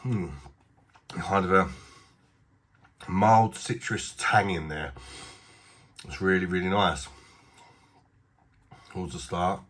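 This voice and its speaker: Low, husky British beer reviewer. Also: male voice, fairly even intonation, slow, long pauses, a bit bright. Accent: British English. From Britain. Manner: chatty, rambling, self-deprecating. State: slightly blocked nose. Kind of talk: casual video review.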